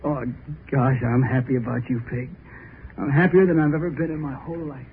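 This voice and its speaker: An actor playing a carefree, playful young groom who jokes boisterously, in 1930s radio acting style. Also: male voice, medium pitch, steady tone, a little fast, natural voice. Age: young adult. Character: carefree joking young groom, happy-go-lucky. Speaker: young bridegroom. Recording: tinny old radio recording with faint hiss.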